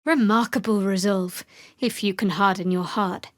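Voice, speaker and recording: A woman playing a guarded, sardonic young female cleric of a dark goddess in a fantasy video game, with a cool, wry and measured delivery. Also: medium pitch, fairly even intonation, no room sound, close to an everyday voice. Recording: dry, close studio voice.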